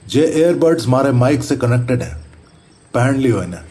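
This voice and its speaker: A man playing a serious, methodical paranormal investigator in an audio horror drama, speaking deliberate rustic Haryanvi-Rajasthani Hindi. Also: mid-range voice, very animated, warm tone, lightly acted. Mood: instructive. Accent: Haryanvi-Rajasthani rural Hindi. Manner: deliberate, instructive. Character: methodical, serious male paranormal investigator, neighbourhood elder brother.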